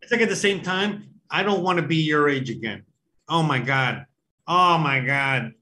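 A man's voice: a little high, expressive, normal speed, bright, forceful tone. A man of forty co-hosting in casual chat, joking and poking fun at himself. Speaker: livestream co-host.

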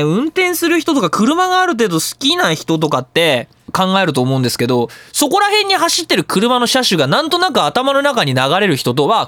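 A man hosting a radio show in a casual solo monologue, ranting in an animated way with theatrical emphasis. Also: a little high, no echo.

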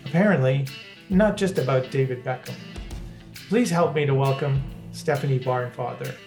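A man's voice: mid-range voice, moderate pace, over music. A man from Canada hosting a podcast, talking casually and hesitantly with many fillers. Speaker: podcast host. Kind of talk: casual interview chat.

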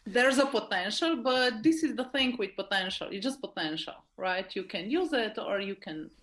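A woman's voice: medium pitch, expressive, moderate pace, a bit bright. Woman with a Slovenian accent, conversational. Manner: informal, often seeks agreement with tag questions. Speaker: interview guest, leadership expert. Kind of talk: conversational interview answers.

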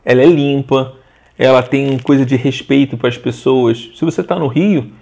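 Gravelly rambling man in his forties. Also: medium pitch, normal speed. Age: 40s. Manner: rambling, animated, restarts often. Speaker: interview guest, social media page owner. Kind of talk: casual conversational interview.

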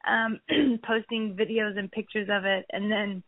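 A woman guest on a podcast, chatting casually and laughing often, her voice a bit hoarse as she keeps clearing her throat. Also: medium pitch, steady tone, unhurried, dry recording. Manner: giggly, says she is losing her voice. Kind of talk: casual interview chat. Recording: dry phone-like line.

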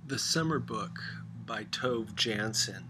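A man narrating a literary story as an audiobook reader, with measured and deliberate phrasing. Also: on the low side, expressive, noisy background.

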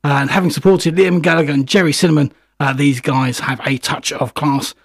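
A man hosting a music radio show, slightly husky while recovering from a chest infection, talking chattily with many fillers. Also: a little high, steady tone, brisk, no room sound, clean studio sound. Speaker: radio host.

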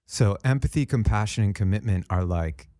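Low-voiced, mellow man interviewing. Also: moderate pace, no room sound, studio quality. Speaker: interviewer, host. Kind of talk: reflective conversational interview.

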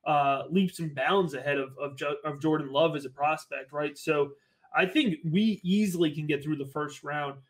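A talkative man from Connecticut chats as a podcast guest, his voice sitting a little high. He rambles eagerly, circling back and restating his points. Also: moderate pace. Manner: enthusiastic, rambling, repeats phrases. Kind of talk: casual podcast chat about fantasy football.

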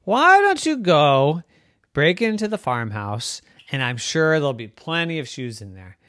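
A storyteller voicing a calm, knowing male farm horse in a plain, matter-of-fact way. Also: medium pitch, measured pace, no echo, clean studio sound.

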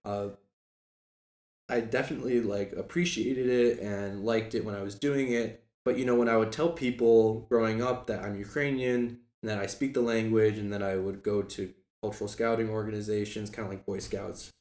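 A man in his early twenties speaks casually as an interview guest. His voice is fairly low and keeps to a very even pitch. He is chatty and conversational, recorded in an echoey room. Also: moderate pace. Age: early 20s. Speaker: guest, former student club officer. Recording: echoey room with faint background noise.

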